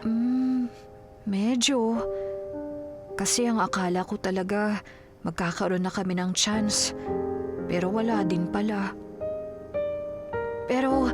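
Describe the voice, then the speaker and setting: Woman as lovelorn young radio drama heroine, fairly high voice, selfless, hesitant, polite, music behind. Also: lightly acted. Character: lovelorn young woman, selfless, hurt, putting on brave face. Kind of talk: Filipino radio drama acting. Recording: music bed under the dialogue.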